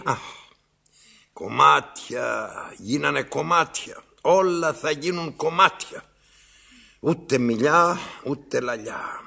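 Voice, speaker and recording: An actor playing a pompous, cantankerous old master in a theatrical radio play, declaiming with halting repetitions. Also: male voice, medium pitch, gravelly, bright, forceful tone, husky, full character voice. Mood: brooding.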